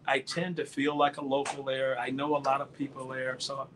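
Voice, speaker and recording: A man's voice: medium pitch, completely flat delivery, a little fast, a bit bright, slight background noise. A man speaking as a guest on a talk show in a casual, conversational style.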